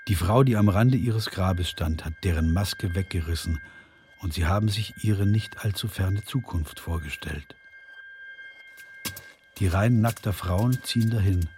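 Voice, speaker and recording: A man's voice: low-pitched, a little mellow, a little hiss or hum. An actor in a radio play voicing a grieving male prisoner who bears witness in solemn, grave narration. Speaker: narrator, eyewitness.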